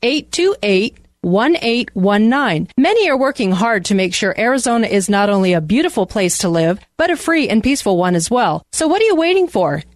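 A woman's voice: fairly high pitch, dry recording, clean studio sound. A woman real estate agent reading a scripted radio advertisement in a polished, upbeat sales manner.

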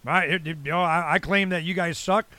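A man of about sixty with an American accent and a slightly higher-set voice. He talks in a loose, rambling, blunt way with salty slang, like a talk show host chatting with a guest. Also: fairly even intonation, moderate pace, bright, forceful tone, no echo. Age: late 50s to early 60s. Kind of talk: casual conversational interview.

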